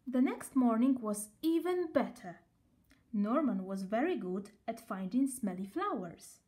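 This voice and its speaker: A woman reading a children's story aloud as an expressive storyteller. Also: on the high side, unhurried.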